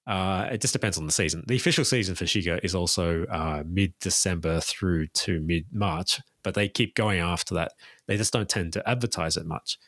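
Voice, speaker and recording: Man, tour guide on a podcast, fairly low voice, dry close sound, informative and keen. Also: normal speed, fairly bright tone, no echo. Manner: detailed, informative, enthusiastic recommendations. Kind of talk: casual interview chat.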